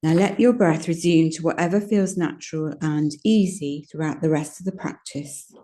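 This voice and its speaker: Female meditation guide, low-pitched and soft-edged, mellow tone, gentle soothing delivery with unhurried pauses. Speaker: yoga nidra meditation guide.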